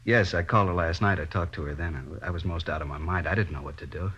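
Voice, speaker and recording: A distraught middle-aged American father in an old radio drama. His low voice is husky and strained with grief, halting and pleading. The recording is dull and dated. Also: a bit bright. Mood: dazed, subdued. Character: distraught grieving father of a dead daughter. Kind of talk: 1950s radio drama acting.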